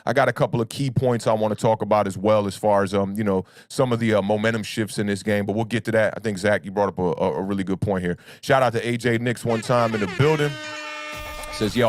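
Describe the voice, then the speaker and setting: A young man with a low baritone voice, a little rough from being sick, talks in a loose, laid-back way and fills his sentences with "man" and "y'all." Faint music plays quietly under him. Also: fairly even intonation, fast talker. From United States. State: under the weather, deeper voice than usual. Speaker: sports talk livestream host. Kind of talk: casual livestream chat with fans.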